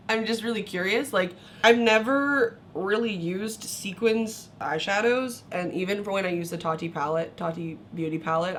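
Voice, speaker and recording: A young woman with a hoarse, sick voice, chatting casually through a makeup review, laughing often and going off on tangents. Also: medium pitch, fairly bright tone, a little hiss or hum. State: hoarse from illness. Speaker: beauty vlogger.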